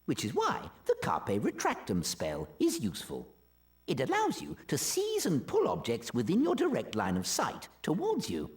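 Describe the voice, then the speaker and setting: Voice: mid-range voice, huge pitch swings, moderate pace. Wildly swooping charms teacher. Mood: instructive. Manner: formal, precise, courteous. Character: animated wizard school charms teacher, courteous and instructive. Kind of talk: video game cutscene acting.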